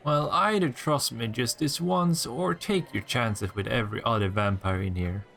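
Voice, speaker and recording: Man acting a sly, creaky-voiced teasing vampire. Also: medium pitch, normal speed, fairly bright tone, faint music behind. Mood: coaxing. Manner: sardonic, taunting, playful. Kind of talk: roleplay audio drama, intimate one-sided dialogue.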